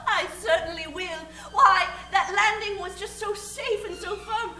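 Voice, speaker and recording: A woman's voice: extremely high pitch, measured pace, pushed and bright, echoey room, distant mic, heavily acted. An actress playing a perky, coy showgirl dame in an old-time radio space serial. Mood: perky, gushing.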